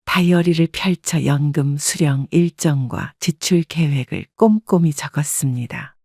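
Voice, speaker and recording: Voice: medium pitch, unhurried, creaky, a little mellow, no echo. Composed audio story narrator, dry sound. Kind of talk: scripted first-person story narration.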